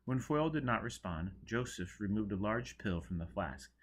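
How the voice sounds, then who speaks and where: Deep-voiced man, very animated narration. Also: moderate pace. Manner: theatrical, expressive. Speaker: audiobook reader. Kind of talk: dramatic scripted narration.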